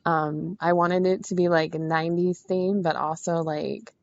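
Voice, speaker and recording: A woman's voice: fairly low pitch, fairly even intonation, normal speed, a little mellow, no room sound. Self-mocking woman in her thirties, lo-fi sound. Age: 30s. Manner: self-mocking, chatty, laughs through her words. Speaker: caller, guest. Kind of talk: casual chat.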